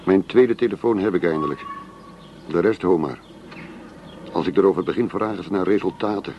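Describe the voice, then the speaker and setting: An actor in a radio drama playing a sceptical undercover police officer, dry and matter-of-fact. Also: male voice, low voice, fairly quick, dull audio, slight background noise, close to an everyday voice. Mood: grumbling, wry. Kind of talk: Dutch radio drama dialogue.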